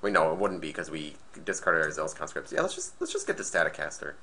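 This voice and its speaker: A man with a slightly raspy, nasal voice from being sick, casually commentating a card game match and thinking aloud as he plays. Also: rumbling low, very animated, fast talker, pushed and bright. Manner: thinking aloud, hesitant restarts. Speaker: gameplay commentator.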